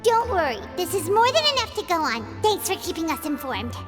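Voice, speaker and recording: Tiny childlike fairy sidekick, very high and squeaky, swooping intonation, cheerful know-it-all, video game. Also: female voice, sing-song, a little fast, music in the background. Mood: concerned. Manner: childlike, squeaky, speaks of herself in third person. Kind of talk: English game dub voice acting.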